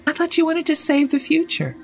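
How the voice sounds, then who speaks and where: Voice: high-pitched, big pitch swings, a little fast, vocal fry, soft background music, pitched above the performer's own voice, heavily acted. A storyteller voicing a blunt, sarcastic teenage girl who questions things with open disbelief in an audio horror story. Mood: alarmed.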